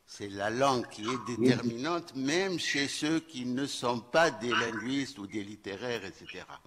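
An older Tunisian man, a professor of French, speaking on a panel in a measured, reflective way, with hesitant fillers and a handheld mic. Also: medium pitch, animated, a bit bright. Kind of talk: round-table talk. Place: large festival hall with a stage curtain. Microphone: handheld microphone. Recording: reverberant hall, noisy background.

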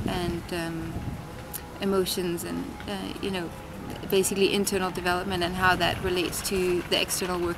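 A woman in her thirties from Denmark, thinking aloud in an interview with many pauses and fillers, seated at an outdoor street table. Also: fairly low pitch. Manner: thoughtful, many ums and restarts. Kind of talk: reflective interview answers. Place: outdoors at a street-side table by a tiled wall. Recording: loud city traffic noise behind.

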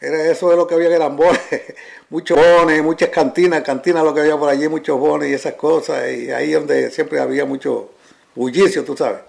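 Gravelly older man. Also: fairly high pitch, fairly even intonation, brisk. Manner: gravelly, chatty recollection. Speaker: interviewee. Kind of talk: oral history recollection. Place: living room, seated on sofa.

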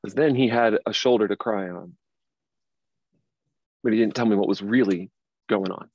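A man acting a distraught man in his late thirties, low in pitch, wounded and indignant. The dramatic reading is recorded in an echoey room with slightly dull sound. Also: moderate pace, darkish tone, husky. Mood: troubled, recounting. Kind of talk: dramatic script table reading.

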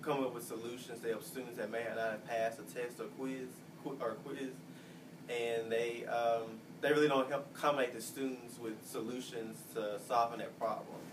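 A twenty-year-old male student giving measured interview answers with "uh" and "um" pauses. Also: medium pitch. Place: office computer room, indoors. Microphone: distant camera mic. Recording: distant mic with steady hiss.

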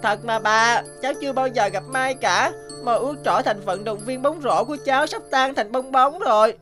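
A woman's voice: a little high, moderate pace, fairly bright tone, soft background music, lightly acted. A woman voicing a self-pitying nine-year-old boy in a cartoon, whining and complaining. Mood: sulky. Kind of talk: cartoon dubbing.

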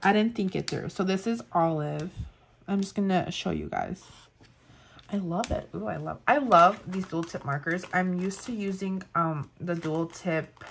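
A woman's voice: on the low side, a little slow, creaky, slight background noise. A woman in her thirties with a Spanish accent, chatting casually and gushing over planner products, with lots of fillers. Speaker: planner vlogger. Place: indoors at a table, overhead camera on hands.

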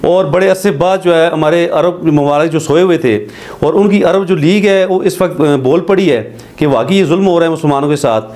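Pakistani guy in his 50s talking to camera, earnest and slow, lotta "uh" pauses, voice a bit on the high side. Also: a little mellow. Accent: Pakistani Urdu. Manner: earnest, measured, frequent hesitations. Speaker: advocate commentator. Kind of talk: direct-to-camera commentary. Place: studio with green screen backdrop.

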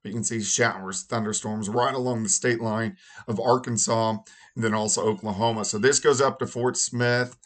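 A hoarse meteorologist in his forties talks through the weather in a loose, chatty way, with a lowish voice. He speaks from a small home office at his desk, close to his webcam. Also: moderate pace. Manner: relaxed, conversational with fillers. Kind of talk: casual weather forecast.

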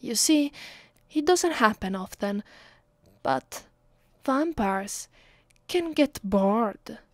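A woman with an Italian accent voicing a cruel, bored, centuries-old vampire mistress in an ASMR roleplay, deliberate and condescending. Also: fairly high pitch, animated, dry recording, clean studio sound. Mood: bored menace. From Italy. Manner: slow, deliberate, menacing, condescending.

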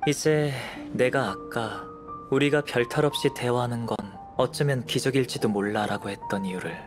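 An actor playing a pensive young alchemist scholar in an anime game, measured and soft-spoken. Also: male voice, medium pitch, close to an everyday voice. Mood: contemplative. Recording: clean studio voice over background music.

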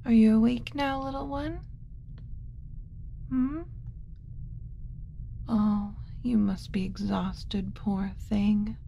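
Kind alien mother, played by a woman, flustered but tender; ASMR roleplay, soft and mellow, unhurried, slipping into whispers. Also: on the high side, steady tone, vocal fry, a little hiss or hum, heavily acted. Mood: tender, cooing. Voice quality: soft, often dropping to a whisper. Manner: gentle, cooing, hesitant muttering to herself.

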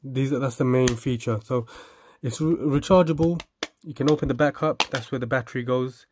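A man casually demonstrating and reviewing a product, speaking haltingly as he lists features and repeats himself. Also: medium pitch, steady tone, noisy background.

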